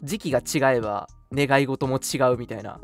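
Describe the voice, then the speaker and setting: A man's voice: mid-range voice, normal speed, faint music behind. A man in his twenties co-hosting a radio show in casual banter with a friend. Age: 20s.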